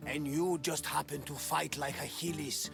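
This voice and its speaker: A gravelly, god-fearing sea captain. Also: male voice, a little high, fairly even intonation, moderate pace, over music. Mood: grateful. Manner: dramatic, invokes the gods, bursts into laughs. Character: weathered old sea captain man, pious and theatrical. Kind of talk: video game cutscene acting. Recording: game score under the voice.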